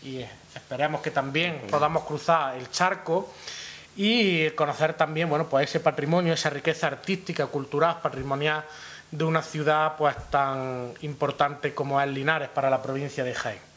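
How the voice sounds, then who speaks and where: A young man with an Andalusian Spanish accent giving a formal press conference speech, deliberately setting out his points. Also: fairly high pitch, steady tone, a bit bright. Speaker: regional youth official. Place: indoor press room at town hall. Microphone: gooseneck table microphone.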